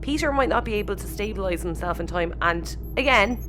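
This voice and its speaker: A woman's voice: mid-range voice, expressive, a little fast, over music, close to an everyday voice. An Irish woman acting as a principled, anxious and exasperated young spaceship crew member in a sci-fi audio comedy. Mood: worried, exasperated.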